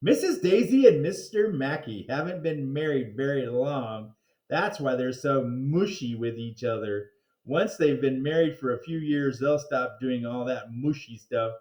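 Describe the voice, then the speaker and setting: A man giving an animated read-aloud of a children's chapter book, expressive, drawing out words and throwing in interjections. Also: mid-range voice, a little slow. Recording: roomy, echoing sound.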